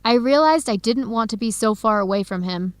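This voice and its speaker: An actress playing an earnest young researcher who confides carefully and clearly in an English-learning radio drama. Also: female voice, on the high side, animated, fairly quick, dry recording, natural voice. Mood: sad, hopeless.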